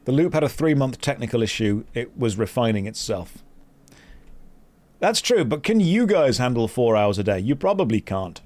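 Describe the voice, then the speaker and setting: A man's voice: medium pitch, very animated, normal speed, a little mellow. A man chatting casually with his live-stream audience while playing a game, joking at his own expense and reading messages aloud. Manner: self-mocking, joking, reads chat and quest text aloud.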